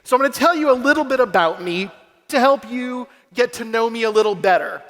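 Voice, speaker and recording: A man's voice: extremely high pitch, lively intonation, fairly quick, fairly bright tone, long reverb tail. A young man from Massachusetts giving an upbeat, self-deprecating keynote speech and working the crowd at a youth conference. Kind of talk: energetic stage speech to a youth audience. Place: large convention hall stage. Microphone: stage microphone through a PA.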